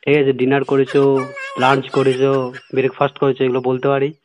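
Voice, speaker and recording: A man's voice: mid-range voice, completely flat delivery, quick pace, slight background noise. A man sweet-talking and coaxing on a prank phone call, often checking in with the listener. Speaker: prank caller. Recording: dull lo-fi call recording.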